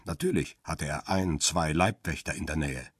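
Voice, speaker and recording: Deep husky cynical paparazzo narrator. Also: male voice, normal speed, no echo, studio quality. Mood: cool, measured. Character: male paparazzo photographer, cool, cynical, guarded first-person narrator. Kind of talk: first-person crime radio drama narration and dialogue.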